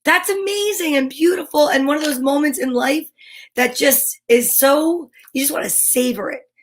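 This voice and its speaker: A woman hosting an online story time, speaking in a playful, expressive way as if chatting casually with viewers. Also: high-pitched, moderate pace, slight background noise. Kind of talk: casual livestream chat with short read-aloud passages.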